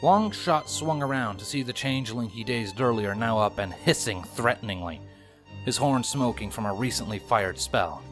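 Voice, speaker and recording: A man's voice: medium pitch, lively intonation, normal speed, faint music behind. A man reading fan fiction as scripted audiobook narration, in a dramatic storytelling manner.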